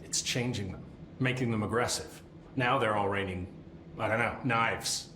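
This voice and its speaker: An actor playing a fussy, deadpan middle-aged keeper of strange items, with dry rambling talk and awkward fillers. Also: male voice, lowish voice, normal speed. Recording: echoey game cutscene with faint hiss.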